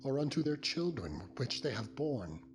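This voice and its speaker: A man's voice: medium pitch, big pitch swings, moderate pace, pitched above the performer's own voice, natural voice. A man voicing a possessive, solemn biblical father-in-law in emphatic, dramatized scripture oratory. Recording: music bed behind the voice.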